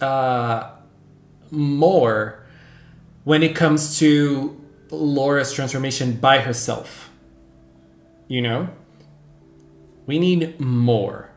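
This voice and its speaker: A man whose first language is Portuguese, hosting a casual livestream and chatting with viewers in an animated, gushing way, squealing greetings. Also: a little high, very slow, long pauses. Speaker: livestream host. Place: home room. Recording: echoey room with faint background music.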